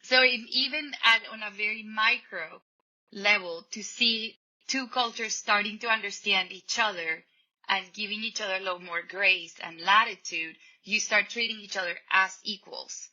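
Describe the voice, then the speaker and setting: A woman from Colombia, a podcast guest, calmly explaining her ideas in a reflective conversational way. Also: medium pitch, normal speed. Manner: thoughtful, explanatory, quotes people in little voices. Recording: dull lo-fi podcast audio.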